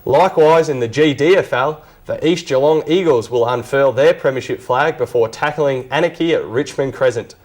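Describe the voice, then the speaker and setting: A man's voice: mid-range voice, moderate pace, hardly any pauses. A man with an Australian accent reading scripted sports news as a reporter.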